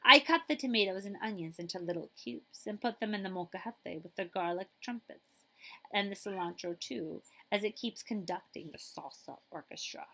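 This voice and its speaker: A woman's voice: medium pitch, moderate pace, vocal fry, pushed and bright, low-quality recording. A woman school librarian reading a children's picture book aloud expressively, with chatty asides to her listeners.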